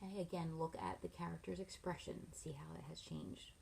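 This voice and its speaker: A middle-aged woman, a teacher reading a picture book aloud to children, expressively and with pauses to ask them leading questions. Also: low-pitched, fairly even intonation, vocal fry, darkish tone, slightly rough, poor audio. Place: small furnished room at home, indoors.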